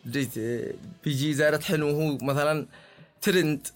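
A man with a husky voice and a Yemeni accent, answering interview questions informally in halting, repetitive phrases. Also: a little high, fairly even intonation, soft background music. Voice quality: husky, hoarse-tinged voice. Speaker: singer, interview guest.

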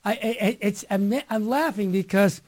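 A man hosting a live religious radio talk show, with a loud voice that he mentions himself. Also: high voice, moderate pace. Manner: loud voice, reads scripture aloud. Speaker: radio host.

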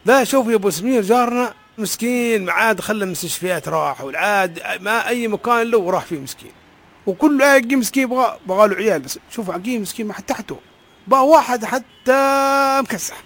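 A man's voice: high voice, lively intonation, quick pace, a bit bright, exaggerated voice. A man playing a pious neighbor who coaxes and lectures a friend in a comedy sketch. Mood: coaxing. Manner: coaxing, preachy.

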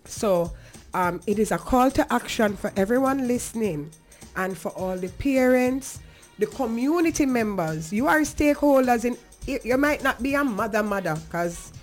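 A woman's voice: medium pitch, expressive, moderate pace, faint music behind. A woman with a Jamaican accent talking earnestly and conversationally as a talk show host, emphatic and now and then slipping into patois.

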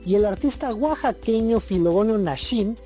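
A woman's voice: medium pitch, expressive, moderate pace, warm tone. A woman with a Mexican accent presenting on the radio as a co-host. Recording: faint music behind.

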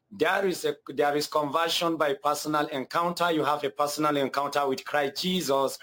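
A young man from Nigeria with a Nigerian accent, earnestly giving his testimony as an interview guest, repeating phrases and pausing often. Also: mid-range voice, fairly bright tone.

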